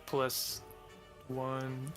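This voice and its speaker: A man's voice: medium pitch, completely flat delivery, extremely slow, music in the background. A man chatting casually as a player in a tabletop role-playing game, hesitant as he thinks aloud.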